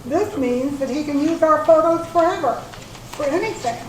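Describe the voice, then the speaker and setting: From far off in an echoey hall, a woman acts out a prim lady with a high voice. She questions and exclaims, in stage-reading style. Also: moderate pace, darkish tone, slight background noise, close to an everyday voice. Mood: alarmed. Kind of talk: readers theater stage acting.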